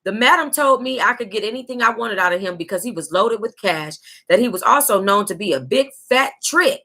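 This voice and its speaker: An American woman reading a memoir aloud as a book club host, with sassy, exclamatory asides and drawn-out emphasis. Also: medium pitch, animated, pushed and bright.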